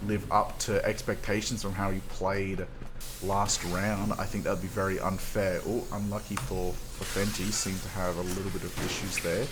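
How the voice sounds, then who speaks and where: Low-voiced non-native English caster. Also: male voice, noisy background. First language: not English. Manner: relaxed, halting color commentary with many ums. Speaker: esports co-caster. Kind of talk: live game commentary.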